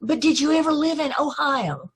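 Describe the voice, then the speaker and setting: A woman's voice: high voice, moderate pace, natural voice. A woman playing an earnest, anxious, polite young woman in an amateur old-time radio play. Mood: nervous, hesitant.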